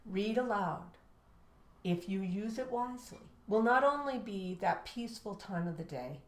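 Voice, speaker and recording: A woman's voice: medium pitch, animated, moderate pace. An American woman, a teacher co-hosting an education show, telling classroom stories and giving advice emphatically, acting out dialogue. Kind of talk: animated storytelling and teaching advice. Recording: echoey room.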